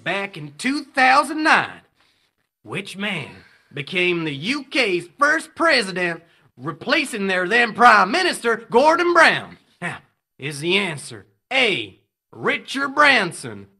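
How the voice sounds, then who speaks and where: An actor playing an excitable, showy male game show host in an audio drama. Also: high-pitched, slow, vocal fry, husky, full character voice. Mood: suspenseful. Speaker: quiz host.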